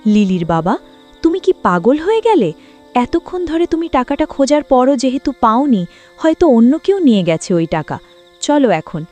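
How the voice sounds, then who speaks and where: A woman's voice: high-pitched, very animated, moderate pace, darkish tone, faint music behind. A woman playing a practical, caring village wife and mother in a cartoon, chiding yet consoling. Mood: worried. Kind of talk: cartoon dialogue and story narration.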